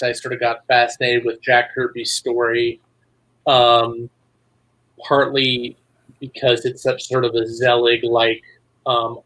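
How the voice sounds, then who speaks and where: A man's voice: mid-range voice, a bit bright, poor audio. Conversational man, mid-range voice. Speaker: writer, interview guest. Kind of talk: casual conversational storytelling.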